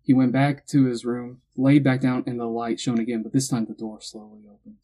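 A young man hosting a casual podcast chat, retelling a story in an animated way and acting out quotes. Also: mid-range voice, a little fast, husky. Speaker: podcast host telling the story.

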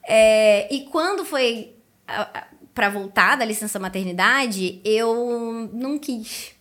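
Higher-pitched chatty Carioca woman. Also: takes it slowly, a bit bright. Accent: Carioca Brazilian Portuguese. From Rio de Janeiro, Brazil. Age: 30s. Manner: chatty, colloquial, laughs easily. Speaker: podcast guest, educator and speaker. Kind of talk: casual interview storytelling.

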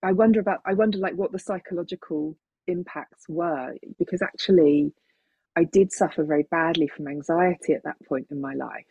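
A middle-aged woman talks casually and reflectively, with plenty of gravelly fry and a husky edge to her voice. She feels her way through thoughts hesitantly. The recording is a little dull and muffled, like an online call. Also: fairly low pitch, fairly quick, darkish tone, no echo. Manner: hesitant, thoughtful, chuckles while talking.

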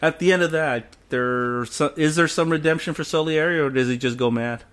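A man's voice: a little high, fairly quick, fairly bright tone. Opinionated laughing man talking films. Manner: opinionated, laughs often, blunt. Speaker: podcast co-host. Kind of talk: casual film discussion.

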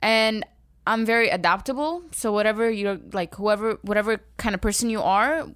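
A woman's voice: mid-range voice, moderate pace, a bit bright, clear, resonant tone, no echo, studio quality. A young woman co-hosting a podcast in casual chat, talkative and teasing, laughing often. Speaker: podcast co-host.

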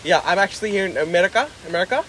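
A man voicing a pushy male phone scam caller with a put-on Indian English accent, in slow, overly polite scripted patter. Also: high-pitched, lively intonation, a bit bright, slight background noise, poor audio. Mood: mock polite.

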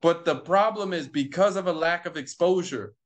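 A man speaking in a slightly high voice as an interview guest. He tells his story in a conversational, animated way, voicing each side of the exchange and hammering points home through repetition. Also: normal speed. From United States. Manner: animated, acts out quoted exchanges, repeats himself for emphasis.

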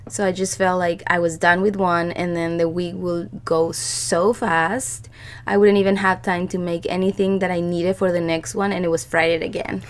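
A woman in her thirties speaks in a slightly low, scratchy voice roughened by a cold. She chats in a loose, rambling way, full of fillers and restarts, as she co-hosts a relaxed podcast. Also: moderate pace. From Chile. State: hoarse from a cold. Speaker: podcast co-host. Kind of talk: casual chat.

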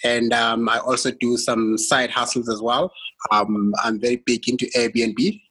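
Bright, husky South African man. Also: medium pitch, fairly even intonation, measured pace, low-quality recording. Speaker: logistics worker guest.

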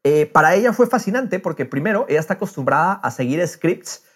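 Un hombre que conduce un podcast de charla informal, contando las cosas con animación y entusiasmo. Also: male voice, on the high side, animated, fairly quick, husky. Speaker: podcast host. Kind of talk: casual podcast interview.

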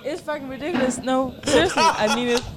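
A man from Denmark with a Danish accent, chatting casually in a halting, rambling but insistent way. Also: very high-pitched, music in the background. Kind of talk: casual chat.

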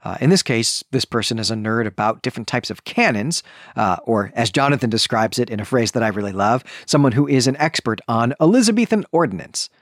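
Male host, mid-pitched, expressive and emphatic, conversational book-review monologue in a dry studio-like sound. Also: fairly quick, no room sound. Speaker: podcast host.

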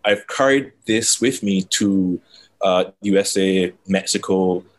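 Man, low voice, dry and reflective, interview guest. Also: takes it slowly. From Barbados. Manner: relaxed, reflective, self-described dry delivery. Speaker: guest, brand consultant. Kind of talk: casual interview conversation.

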